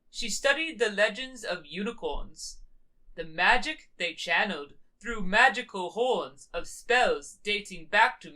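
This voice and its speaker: A woman's voice: medium pitch, sharp tone, poor audio. A woman voicing a solemn zebra storyteller who narrates a storybook tale in rhyme. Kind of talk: scripted rhyming storybook narration.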